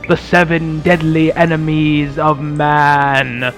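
A man hosts and narrates in the style of an old radio serial. His voice sits high, with a theatrical announcer delivery and music playing beneath it. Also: little pitch movement, takes it slowly, fairly bright tone. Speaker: podcast host and narrator.

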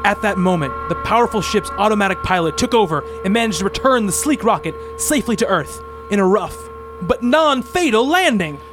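A man's voice: high-pitched, lively intonation, fairly quick. Grandiose man narrating over faint music. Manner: grandiose, theatrical. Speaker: radio play narrator. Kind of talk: dramatic comic-book radio narration.

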